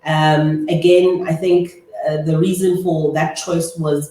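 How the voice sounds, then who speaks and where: A woman in her thirties from South Africa's Eastern Cape, Afrikaans her first language, answering interview questions in a measured way with many pauses. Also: deep voice, little pitch movement, warm tone. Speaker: guest, chartered accountant. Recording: echoey room, distant mic.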